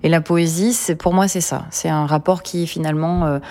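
A woman with a notably low voice. She speaks as a writer guest, thoughtful and precise, with brief pauses on filler words as she builds her answer. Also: fairly even intonation, mellow. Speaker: poet and novelist, guest. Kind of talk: conversational interview answer.

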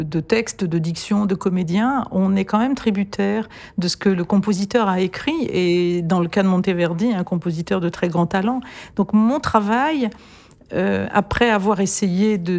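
A woman's voice: mid-range voice, vocal fry, darkish tone. A female singer in an interview who talks thoughtfully and articulately, with some hesitations. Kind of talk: interview explanation.

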